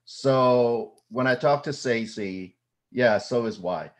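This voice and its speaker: A man's voice: mid-range voice, takes it slowly. A man whose first language is Cantonese, telling a short story on a panel in a polite, wry way. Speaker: actor on a panel. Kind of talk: casual anecdote.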